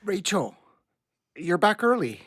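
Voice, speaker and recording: Anxious, husky, animated dad. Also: male voice, high-pitched, no echo, studio quality, heavily acted. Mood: nervous, fussing. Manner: hesitant, halting, earnest. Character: awkward, anxious, bird-loving middle-aged dad. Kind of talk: naturalistic audio play acting.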